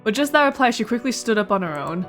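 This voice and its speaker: Woman doing a casual visual novel playthrough, jokey asides, trips on words, soft music under it. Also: a little high, very animated, fast talker. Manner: playful, stumbles over words and comments on them. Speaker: let's play host.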